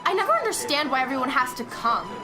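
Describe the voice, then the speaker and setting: A woman's voice: sits high, sing-song, quick pace, loud music behind the voice. A teenage girl playing a whiny, squeamish, dramatic girl in an amateur audio drama, complaining with exaggeration. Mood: disgusted, whiny. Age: teens. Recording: crowd chatter behind.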